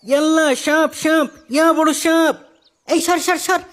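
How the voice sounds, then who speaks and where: A man playing a frightened, grumbling young village gravedigger in a cartoon tale, excitable and complaining. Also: extremely high pitch, a little slow. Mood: panicked. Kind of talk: Islamic moral cartoon story acting.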